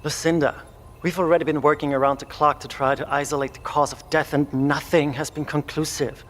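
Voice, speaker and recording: Man as a doubtful lab scientist, pushes back, slightly high voice, audio drama. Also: flat delivery, a little fast, big-room sound, lightly acted. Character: wary, skeptical male lab scientist.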